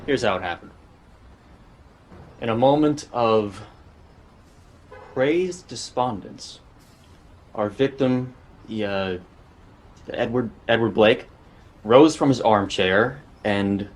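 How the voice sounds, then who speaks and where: An actor playing a jaded, irritable police detective in a fan-made drama dialogue. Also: male voice, lowish voice, takes it slowly, pauses often. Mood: dry, matter-of-fact.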